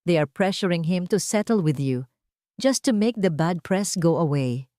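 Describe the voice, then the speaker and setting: A woman's voice: fairly low pitch, lively intonation, moderate pace, warm tone, no room sound, studio quality, lightly acted. A woman voicing a calculating, dismissive male business rival in an audio story, mixing English and Tagalog. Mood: boastful.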